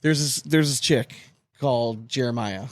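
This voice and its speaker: A man's voice: medium pitch, moderate pace, no room sound, clean studio sound. A man co-hosting a sports podcast in casual chat, candid and loose, swearing freely and laughing between points. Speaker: podcast co-host.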